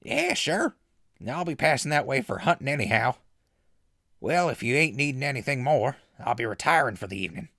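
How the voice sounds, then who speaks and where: A man's voice: medium pitch, sing-song, fairly quick, gravelly, sharp tone, dry recording, clean studio sound, full character voice. A man playing a friendly, eccentric hermit hunter with chummy, drawling backwoods talk in a comic post-apocalyptic audio drama. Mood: obliging.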